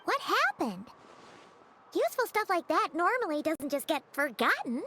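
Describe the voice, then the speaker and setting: A woman voicing a small, excitable fairy companion in a video game, childlike, squeaky and full of exclamations. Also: normal speed. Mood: curious. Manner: exclamatory, expressive. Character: small excitable female floating fairy companion, curious and easily astonished. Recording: loud background music.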